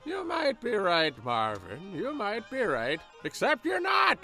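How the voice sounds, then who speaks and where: Brief: villainous blustering deputy, sharp high voice, mocking, comic radio play, music underneath. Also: male voice, wildly swooping pitch, a little slow, exaggerated voice. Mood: sneering, blustering. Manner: pompous, mocking. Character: loathsome blustering small-town lawman, sneering and pompous.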